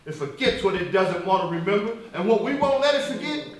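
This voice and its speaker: An actor playing a defiant, militant freedom leader on stage, speaking in emphatic, accusatory oratory with deliberate pauses. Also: male voice, high voice, lively intonation. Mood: indignant, scornful. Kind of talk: live stage play acting. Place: stage in a reverberant room, recorded from afar. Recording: echoey hall, distant microphone.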